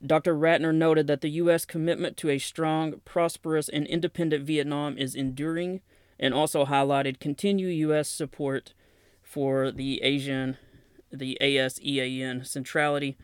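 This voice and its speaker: A man's voice: on the high side, flat delivery, normal speed, smooth, no room sound, studio quality. A man with a Southern accent hosting a podcast, talking casually through defense news and reading press releases aloud with plain asides. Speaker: podcast host.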